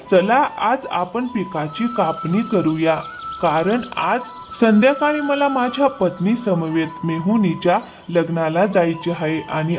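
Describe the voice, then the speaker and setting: Cartoon farmer, male actor: high-voiced man, honest, loyal, eager to help, soft music underneath. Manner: earnest, accommodating. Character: trusting, hard-working, loyal male farmer. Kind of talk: cartoon moral-story dialogue.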